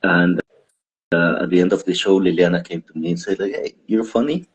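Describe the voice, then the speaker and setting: Very deep-voiced comedian, laid-back. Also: male voice, fairly quick, low-quality recording. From Guatemala. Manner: rambling, self-deprecating, laughs often. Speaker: stand-up comedian, guest. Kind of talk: casual interview chat.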